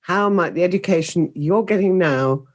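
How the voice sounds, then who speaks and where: A woman hosting a podcast, asking calm, unhurried questions. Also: fairly low pitch. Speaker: host. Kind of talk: podcast interviewing.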